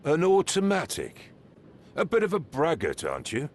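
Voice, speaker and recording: An actor playing a gruff, scornful drill sergeant in a video game, with a gravelly, commanding voice. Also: male voice, on the high side, wildly swooping pitch, normal speed, slight background noise. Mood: stern. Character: gruff stern drill sergeant, older man.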